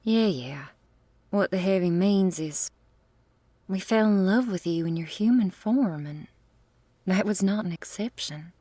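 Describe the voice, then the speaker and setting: A woman's voice: mid-range voice, expressive, unhurried, pauses often, dark tone, no room sound. A woman voicing a playful, irreverent rebel vampire lover in an ASMR roleplay, with a blunt, informal, teasing manner. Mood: sincere, casual.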